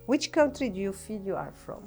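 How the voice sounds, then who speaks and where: A woman's voice: medium pitch, sing-song, normal speed, a little mellow. A woman hosting an interview, asking simple, friendly questions in plain, slightly learner English. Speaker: host interviewer. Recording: music clearly behind.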